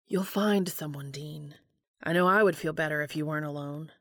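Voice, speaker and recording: A caring, steady man in an audiobook story, voiced by a woman reader. He speaks gently and earnestly in a slightly low register. The sound is dry and close. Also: normal speed, no room sound. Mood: concerned. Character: caring adult man, concerned steady brother.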